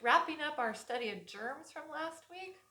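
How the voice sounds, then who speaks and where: A woman preschool teacher reading a storybook aloud to young children in a rhythmic, expressive way. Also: high-pitched, normal speed. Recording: echoey room.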